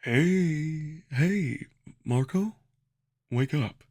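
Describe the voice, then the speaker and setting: A man's voice: on the high side, expressive, drawn-out words, darkish tone, pitched above the performer's own voice, full character voice. A man voicing an earnest, eager male alien wolf in a visual novel, speaking emphatically with formal wording and a touch of awkwardness. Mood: gently attentive. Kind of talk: visual novel character dub.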